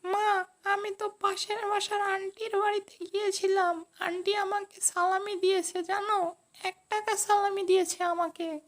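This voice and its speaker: A poor little village boy in a children's cartoon story. The voice is extremely high and fairly even, with slow, innocent, pleading phrasing. He sounds earnest and childlike. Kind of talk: children's moral story dialogue.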